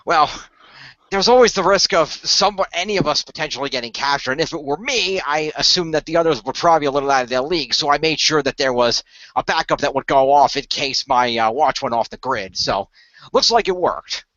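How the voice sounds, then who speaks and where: A man playing a calm, confident hero who plans ahead, speaking in a matter-of-fact way in an amateur radio play. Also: a little high, fairly quick, dry recording, muffled recording. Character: calm, confident male hero planner explaining his strategy.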